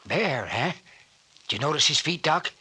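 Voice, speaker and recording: An actor playing a stubborn, bossy rural mayor with a gruff, folksy American country way of talking, in an old-time radio serial. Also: male voice, on the high side, animated, moderate pace, bright, forceful tone, full character voice. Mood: uneasy. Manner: gruff, folksy backwoods speech. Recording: noisy old radio recording with clicks.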